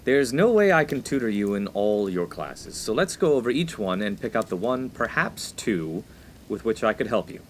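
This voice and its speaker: A man's voice: lowish voice, sing-song, normal speed, a little hiss or hum. An actor playing a sensible, square young college student, the dry and pedantic straight man of a comedic radio play. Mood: exasperated.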